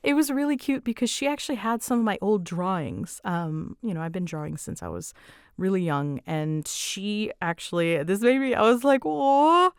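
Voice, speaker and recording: A young adult woman chatting casually as she answers fan questions, with an animated way of retelling things. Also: mid-range voice, moderate pace, clean tone, dry recording, clean studio sound. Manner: chatty, animated retelling with quoted asides and giggles. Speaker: audio roleplay creator answering fan questions. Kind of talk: casual Q&A chat.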